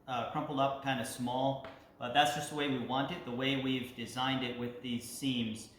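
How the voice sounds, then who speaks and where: Man explaining haltingly in a very echoey room. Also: mid-range voice, flat delivery, fairly bright tone. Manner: halting, pausing mid-sentence. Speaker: leathercraft instructor. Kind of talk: casual hands-on tutorial explanation. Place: large indoor workshop with concrete floor. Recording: echoey roomy sound, clear background noise.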